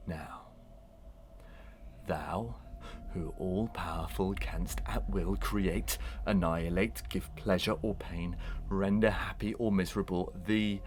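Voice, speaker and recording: An actor playing a bitter condemned husband, menacing and declamatory, in a Victorian melodrama for audio. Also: male voice, low-pitched, fairly even intonation, slow pace, a little hiss or hum. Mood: vengeful, commanding. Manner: declamatory, archaic, dramatic speech.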